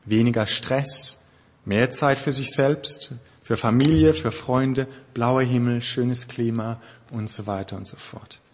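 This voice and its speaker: Man, husky voice, sermon, conversational and thoughtful, hesitant pauses, long church-hall reverb. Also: mid-range voice. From Germany. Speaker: pastor.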